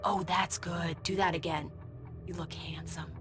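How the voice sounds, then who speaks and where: A woman's voice: on the low side, fairly even intonation, unhurried, music in the background, lightly acted. An actress playing a playful young wife who teases her husband while taking his photo. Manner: playful, teasing.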